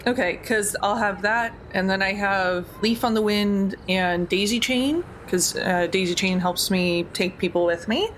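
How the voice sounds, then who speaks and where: Female tabletop player, average pitch, casual delivery, slight background noise. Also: a little slow, few pauses, a bit bright. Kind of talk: casual tabletop game play.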